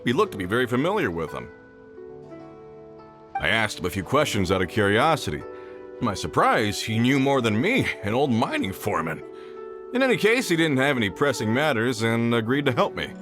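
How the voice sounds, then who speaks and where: Very animated old mining foreman played by a man. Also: mid-range voice, normal speed, natural voice. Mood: explaining. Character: older male mining foreman, friendly, earnest, easily flustered. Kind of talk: video game voice acting. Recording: music behind the voice.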